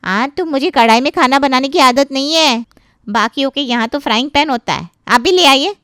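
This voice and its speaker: A woman's voice: sits high, normal speed, a bit bright, no echo, clean studio sound. A woman playing a brash, defiant hired cook who complains and talks back in a family story. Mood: blaming. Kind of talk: dramatized Hindi family story dialogue.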